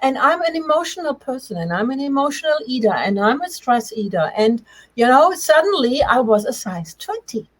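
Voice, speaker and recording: A middle-aged woman with a German accent and a slightly husky voice, telling her personal story as an interview guest in a halting, emphatic way. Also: sits high, big pitch swings, rough recording. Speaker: interview guest, change coach. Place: remote video call.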